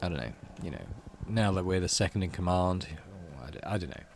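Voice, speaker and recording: A man's voice: deep voice, animated, brisk, darkish tone, slight background noise. A man doing casual game commentary, thinking aloud in a hesitant, rambling way. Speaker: video game let's player.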